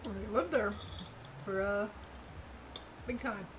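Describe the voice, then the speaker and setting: A woman's voice: medium pitch, takes it slowly. A woman co-hosting a stream, chatting casually with dry, joking asides while she eats. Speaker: co-host eating on stream. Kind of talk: casual chat. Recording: steady background noise.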